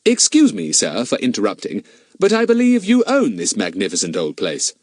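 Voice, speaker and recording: Storybook role: male reader as smart schoolboy detective, lively intonation, courteous, innocently cheeky, talkative. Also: mid-range voice, a little fast, husky, no echo, studio quality, voice pushed up. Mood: mock-innocent. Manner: polite, mock-innocent, talkative. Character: clever, cheeky, politely confident schoolboy sleuth.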